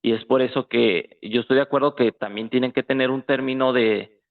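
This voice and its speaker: A man with a Mexican accent talks informally as a guest on a thin, phone-like line. His medium-pitched voice has a gravelly fry, and he often gropes for the next word. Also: fairly even intonation, fairly quick. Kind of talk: casual political discussion. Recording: tinny, phone-like call audio.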